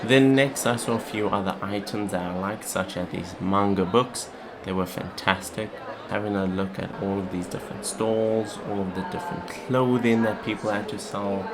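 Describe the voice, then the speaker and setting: A man's voice: lowish voice, steady tone, normal speed, background noise. A young man narrating a scripted vlog. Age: young adult. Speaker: vlogger.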